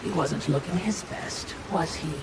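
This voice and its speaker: Snide nervous spirit, slow and sarcastic, echoey and far off. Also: male voice, on the high side, vocal fry. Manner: snide, mock-deferential. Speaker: game character. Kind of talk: video game cutscene acting. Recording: echoey, distant, noisy game audio.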